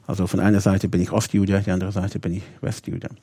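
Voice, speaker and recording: A man's voice: sits low, little pitch movement, quick pace, dark tone, slightly rough, no room sound. A man of 59 from England with an English accent, telling his story conversationally in detail, sometimes searching for words. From Bradford, Yorkshire, England. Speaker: interview guest, rabbi.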